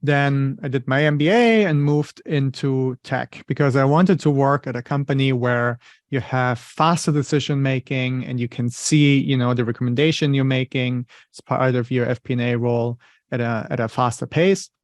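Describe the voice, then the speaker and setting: A thoughtful man in his thirties speaks English with a German accent, walking through his ideas in a patient, explanatory way with a sprinkling of "you know." His voice sits at an ordinary pitch and is recorded dry, with no room echo. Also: fairly even intonation, moderate pace. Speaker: interview guest, finance leader. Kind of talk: conversational interview.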